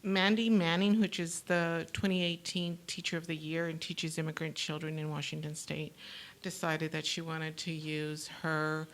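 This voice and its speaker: A woman hosting a panel discussion, her voice low and gravelly. She talks haltingly, with many fillers and restarts. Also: little pitch movement. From New Mexico, USA. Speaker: talk show host.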